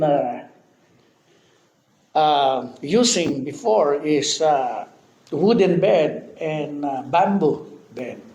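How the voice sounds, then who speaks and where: A Filipino-accented man in his fifties preaches from the pulpit. His voice sits a bit high for a man, and he keeps dropping in amen and hallelujah between halting phrases. The sound carries the echo of a church hall with a faint hum behind. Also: a little mellow. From Philippines. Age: 50s. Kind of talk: sermon. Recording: echoey church hall with faint background noise.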